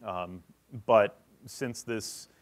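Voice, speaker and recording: A man's voice: lowish voice, extremely slow. A man presenting a technical session at a conference, explaining in a matter-of-fact way.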